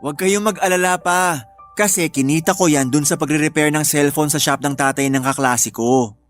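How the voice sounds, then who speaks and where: A man playing a devoted young student son speaks in a fairly high voice. It is family radio drama acting, playful yet dutiful, over faint music. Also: normal speed, lightly acted. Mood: earnest, devoted. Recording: faint music bed under voice.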